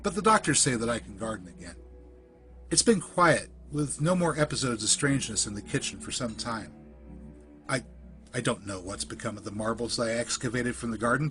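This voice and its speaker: A man reading a scripted first-person spooky story in a measured, deliberate storytelling manner. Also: mid-range voice, bright, forceful tone. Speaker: narrator of a creepypasta podcast.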